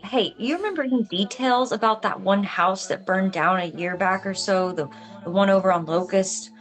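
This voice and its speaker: An actress reading an inquisitive, reserved but friendly police officer in a film script, casual and understated. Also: female voice, medium pitch, normal speed, natural voice, rough recording. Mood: curious, casual. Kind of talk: table read of a film script.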